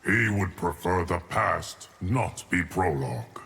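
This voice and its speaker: An actor playing an ancient, calm guardian of time in a video game, deep, creaky and measured, over faint noise. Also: male voice, heavily acted. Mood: solemn, calm. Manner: slow, measured, oracular. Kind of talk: video game character dialogue.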